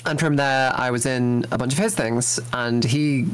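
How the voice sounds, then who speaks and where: A man's voice: medium pitch, fairly even intonation, moderate pace, a little hiss or hum. Rambling young man with many fillers. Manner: hesitant, many fillers and restarts. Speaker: voice actor guest. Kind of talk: casual interview talk.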